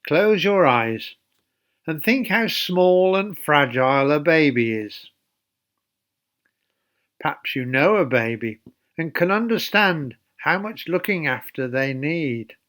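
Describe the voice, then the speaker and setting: A man reading a children's Bible story in a deliberate, emphatic way, speaking directly to young listeners. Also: on the high side, animated, a bit bright. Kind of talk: expressive children's Bible storytelling, then gentle address and prayer.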